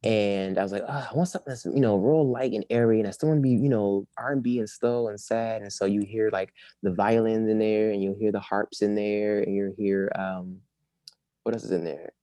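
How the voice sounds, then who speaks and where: A man talking casually about his music in an interview, rambling and animated, his voice a little raspy. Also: lowish voice, fairly quick, no echo. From Maryland. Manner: rambling, animated, breaks into song. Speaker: musician guest.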